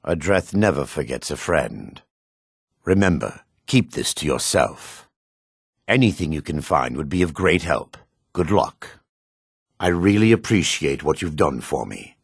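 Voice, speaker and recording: An actor playing a gruff, wary, embattled male dark elf with a raspy, gravelly voice in a fantasy video game. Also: rumbling low, expressive, a little slow, no room sound, clean studio sound, heavily acted. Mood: earnest, weary.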